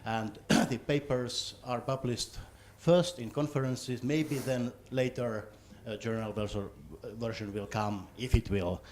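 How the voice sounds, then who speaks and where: A man in the audience making a deliberate, measured comment during the question session. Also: mid-range voice, a little mellow, a little hiss or hum. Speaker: audience member asking a question. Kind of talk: question from the floor.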